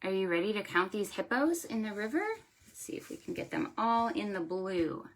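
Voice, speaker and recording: A woman hosting storytime for preschoolers in an exaggerated sing-song way, encouraging them and pausing after her questions. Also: mid-range voice, gravelly, rough recording. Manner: sing-song, exaggerated delivery for small children. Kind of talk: interactive storytime for young children, counting rhymes.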